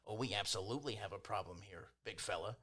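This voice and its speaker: A man's voice: fairly low pitch, quick pace, pushed and bright. A man voicing a burly old town enforcer in a tabletop game, a condescending bully who relishes his bit of power. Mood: smug, condescending. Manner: officious, condescending.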